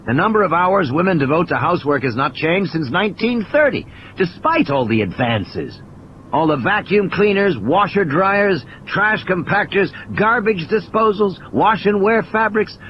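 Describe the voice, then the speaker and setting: A man's voice: sits high, expressive, normal speed, highs cut off, slight background noise, pitched above the performer's own voice. A man playing an injured, cynical and irritable scientist in an audio play, ranting emphatically in philosophical, insistent repetitions.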